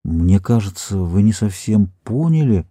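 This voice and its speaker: A man's voice: lowish voice, lively intonation, unhurried, mellow, husky, dry recording, clean studio sound. A man voicing a plump, self-assured Earth rocket captain who talks eagerly and insistently.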